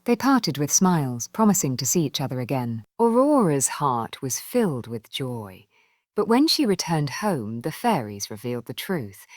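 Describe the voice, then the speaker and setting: A woman narrating a scripted fairy tale for children in an expressive, measured storytelling manner. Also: sits low, dry recording, clean studio sound.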